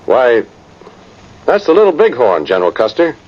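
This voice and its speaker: A man's voice: mid-range voice, sing-song, normal speed, faint music behind, close to an everyday voice. An American man playing a folksy, plain-spoken old cavalry sergeant telling his tale in a vintage radio drama. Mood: respectful, uneasy. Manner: rustic, rambling, plain-spoken old soldier. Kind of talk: 1950s radio drama narration. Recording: muffled noisy vintage radio recording.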